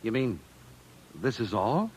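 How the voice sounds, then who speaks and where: An American actor playing an easygoing, confident engineer in a 1950s radio drama, casual and businesslike, with a husky voice. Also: male voice, medium pitch, very animated, slow pace, a little mellow, exaggerated voice. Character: easygoing, unfazed human engineer, confident team partner. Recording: vintage 1950s radio recording.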